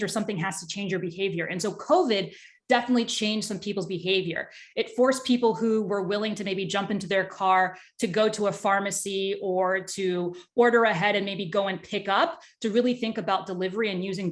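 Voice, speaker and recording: A woman interview guest talking conversationally, in a candid, excitable and talkative way. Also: medium pitch. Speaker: tech startup executive, interview guest.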